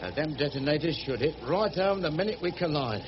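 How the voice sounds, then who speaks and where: An actor, rambling along in folksy, colloquial speech. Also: male voice, on the high side, animated, fairly quick, natural voice. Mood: busy, pleased. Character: rough, good-hearted working-class boatman, chatty and affectionate. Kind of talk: radio drama acting. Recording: muffled radio drama recording with background noise.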